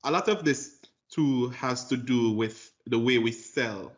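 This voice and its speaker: A man's voice: mid-range voice, measured pace, dull audio. A young adult Jamaican man with a Jamaican accent, a lawyer talking about his path in an interview, earnest and a little cheeky. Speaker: lawyer guest. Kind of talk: casual interview talk.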